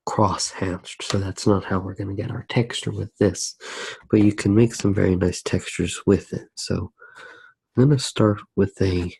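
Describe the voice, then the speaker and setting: A man speaks as an ASMR artist guiding a drawing, in a hushed, halting way with pauses inside his sentences. His voice is dark and mellow, husky, and stays very even in pitch. He sounds close to the microphone as he works. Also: no room sound, poor audio. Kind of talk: ASMR drawing tutorial, narrated while working.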